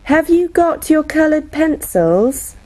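Slow, deliberate woman reading classroom phrases. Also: high-pitched, sing-song, mellow. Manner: deliberate, each phrase spoken separately. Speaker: teacher modelling classroom phrases. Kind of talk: slow, clearly spoken classroom English read aloud.

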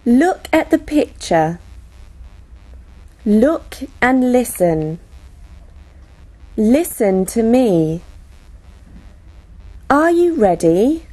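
Slow, deliberate woman reading classroom phrases. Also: on the high side, sing-song, dark tone, rough recording. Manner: deliberate, each phrase spoken separately. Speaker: teacher modelling classroom phrases. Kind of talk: slow, clearly spoken classroom English read aloud.

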